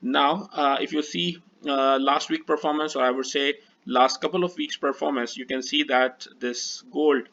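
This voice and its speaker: A man's voice: mid-range voice, a bit bright. A man whose first language is Urdu, walking through trading charts in a hesitant manner with frequent fillers. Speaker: trading analyst. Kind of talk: screen-share chart walkthrough. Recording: dull lo-fi voice recording.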